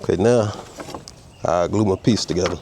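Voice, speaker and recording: A man's voice: deep voice, normal speed, gravelly, darkish tone, faint music behind. A man with a head cold, casually explaining a car repair step by step as he works outdoors. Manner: easygoing, talks while working, explains each tool. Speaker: custom car restorer demonstrating a repair. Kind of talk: casual step-by-step how-to narration.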